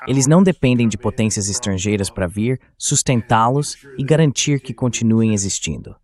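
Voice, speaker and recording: A man's voice: fairly low pitch, animated, moderate pace, darkish tone. An AI-voiced man reading a translated dub of an interview guest's political analysis. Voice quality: synthetic AI voice-over.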